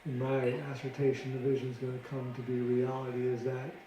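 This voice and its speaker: A middle-aged American man from Detroit giving earnest, deliberate explanations in an informal interview. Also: mid-range voice, completely flat delivery, lo-fi sound. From Detroit, United States. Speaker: interviewee, ministry founder. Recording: roomy echo with clear background noise.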